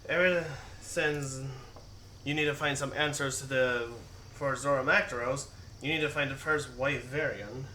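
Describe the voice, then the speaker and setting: A man giving a halting, improvised story recap as game commentary, recorded from a distance in a roomy space. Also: medium pitch, steady tone, bright, forceful tone. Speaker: gaming video maker. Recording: roomy, distant mic with faint noise.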